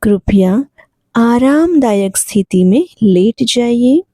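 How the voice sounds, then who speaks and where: Very slow, mellow woman guiding meditation. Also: a little high, animated, no room sound. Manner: soothing, gentle, unhurried with long pauses. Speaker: guided meditation instructor. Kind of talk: guided sleep meditation, yoga nidra narration.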